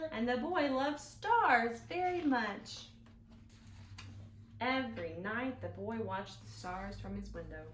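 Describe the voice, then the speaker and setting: Lady doing kids storytime, slow and super expressive, echoey room w some noise. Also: on the high side. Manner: expressive, explains and asks questions to children. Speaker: children's librarian, storytime host. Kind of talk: read-aloud storytime for young children. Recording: roomy echo, some background noise.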